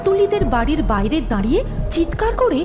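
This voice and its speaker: A woman tells a scripted cartoon tale as narrator. Her voice is high with lively swings in pitch. Music plays clearly underneath. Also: fast. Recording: music behind the voice.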